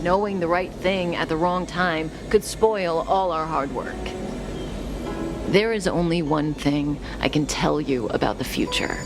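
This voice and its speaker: An actress playing a cool, enigmatic, teasing female schemer in a video game, with a languid, softly sardonic delivery and a velvety voice. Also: on the low side, natural voice. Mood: grave, measured. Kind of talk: scripted video game character dialogue. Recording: studio voice over game music.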